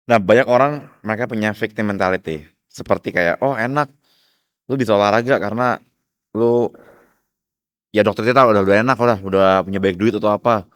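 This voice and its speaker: A man's voice: lowish voice, a little fast, pauses often, clean tone, dry recording, studio quality. A man with an Indonesian accent hosting a casual interview, putting points and questions to his guest. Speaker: podcast host.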